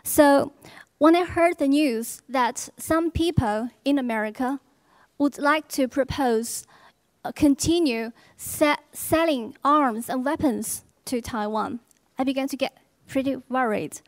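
A woman's voice: high voice, measured pace, no echo. A young woman from China with a Chinese accent, a university student politely and carefully reading out a question to a speaker at a public event. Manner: polite, careful and formal, with small hesitations.

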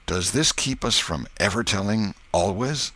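A scruffy, gullible country boy from a storybook, as a male narrator puts him on. He is earnest and plaintive, with a drawling rustic dialect. The voice is husky and forceful, lifted above the man's own. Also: lowish voice, animated, unhurried, pitched above the performer's own voice, heavily acted. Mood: fearful. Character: gullible, earnest, uneducated ragamuffin boy, easily scared.

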